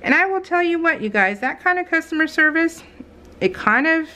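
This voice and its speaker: An American woman chatting casually as a craft vlogger, telling stories in a rambling, animated way and acting out what people said. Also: very high-pitched, fairly quick. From California, United States. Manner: rambling, animated retelling with acted-out quotes. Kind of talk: casual chat while crafting, voiced in real time.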